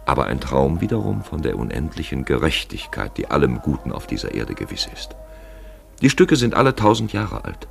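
Male radio feature narrator: bass register, gravelly grain, animated storyteller's delivery, literary and wry. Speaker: narrator and presenter. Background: music.

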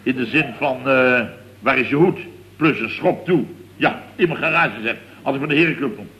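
An actor playing a flustered, indignant middle-aged building boss, telling things in a long-winded, exasperated way in a comic radio serial. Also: male voice, mid-range voice, moderate pace, highs cut off, natural voice. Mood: indignant, pompous. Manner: long-winded, exasperated storytelling peppered with verbal tags. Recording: old radio recording with faint noise.

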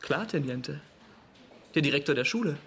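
An actor playing a defensive young small-time hustler in a radio crime drama, evasive, streetwise and protesting. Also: male voice, fairly high pitch, animated, moderate pace, gravelly, a bit bright, slight background noise. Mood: candid, cocky. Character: defensive, cocky 25-year-old male small-time hustler. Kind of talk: naturalistic radio play acting.

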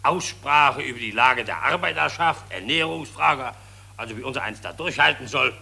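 A sneering, gravelly factory boss. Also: male voice, mid-range voice, expressive, moderate pace, pushed and bright, full character voice. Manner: sneering, ironic. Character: cynical Viennese factory owner with a whip. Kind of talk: radio play acting.

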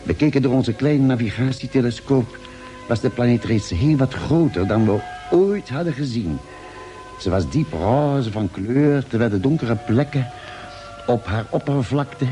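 An actor playing a calm, genial ship's doctor who keeps a diary, articulate and measured, in a radio drama. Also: male voice, medium pitch, natural voice. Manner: measured, articulate, expressive storytelling. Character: ship's doctor and diarist, calm, genial male space traveller. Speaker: narrator and actor. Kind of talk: Dutch radio drama narration and dialogue.

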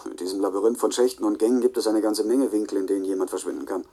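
An actor playing a frank, cooperative colleague in a radio play, answering in a measured, reflective and helpful way. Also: male voice, fairly low pitch, dark tone, slightly rough. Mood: thoughtful, helpful.

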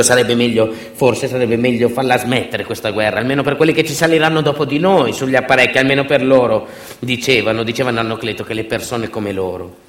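A man performing a theatrical narrative monologue, emphatic and dramatic, with deliberate repetitions and pauses. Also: lowish voice. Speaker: stage actor and storyteller. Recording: echoey room.

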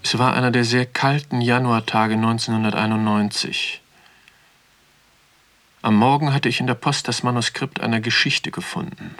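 An actor playing a reflective magazine writer who tells his own story in a measured radio drama narration. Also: mid-range voice, steady tone, fairly bright tone, close to an everyday voice. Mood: matter-of-fact reflection.

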